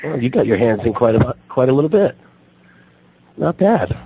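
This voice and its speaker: A man hosting a radio talk show, his voice still recovering from being lost, talking haltingly with many fillers and restarts. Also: medium pitch, very animated, noisy background.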